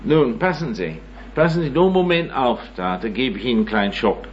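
A man's voice: mid-range voice, lively intonation, fairly quick. A man in his nineties giving an informal lecture, rambling and self-mocking, with many hesitations and tag questions. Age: 90s. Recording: dull recording with faint hum.

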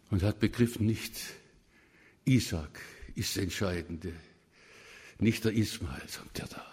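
Swabian preacher in a reverberant church. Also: male voice, fairly low pitch. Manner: emphatic, rising to fervent proclamation. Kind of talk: sermon.

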